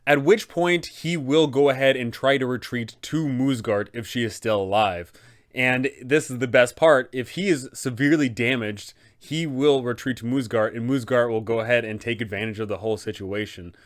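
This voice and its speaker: A man's voice: mid-range voice, normal speed. A young adult man hosting an explanatory tabletop game video, speaking in an enthusiastic, emphatic way. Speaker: host, game master advisor. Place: small room indoors, seated.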